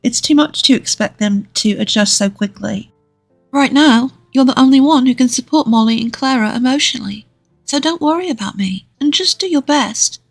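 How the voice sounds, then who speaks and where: Woman playing a kind young wife, high pitch, gentle, cheerful, comforting, storytelling dialogue, faint music behind. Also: moderate pace, creaky, dry recording. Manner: soft, comforting, lightly teasing. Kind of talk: acted dialogue in a narrated story.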